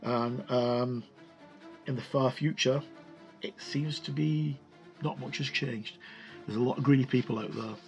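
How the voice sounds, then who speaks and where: A man giving a casual book walkthrough as a game reviewer, moving between reading aloud and halting, informal commentary. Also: mid-range voice, fairly even intonation, darkish tone, soft background music. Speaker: tabletop roleplaying game reviewer.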